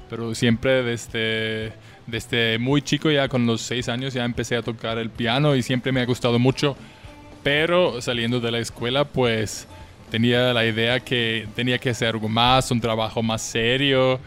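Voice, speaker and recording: Hesitant young Austrian man, mid-pitched. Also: fairly bright tone. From Vienna, Austria. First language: German. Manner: hesitant, searches for words. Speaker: guest musician. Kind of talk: casual chat.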